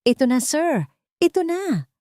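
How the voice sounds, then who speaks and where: A woman's voice: high-pitched, sing-song, unhurried, warm tone, no room sound, clean studio sound, pitched above the performer's own voice. A woman voicing an earnest, respectful young female resident doctor in an audio drama. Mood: thrilled. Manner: respectful, sincere. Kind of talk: audio drama character dialogue.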